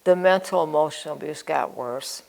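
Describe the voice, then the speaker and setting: Older American woman, low gravelly voice. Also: moderate pace, a little mellow, low-quality recording. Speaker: interview guest. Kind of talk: personal life-story interview.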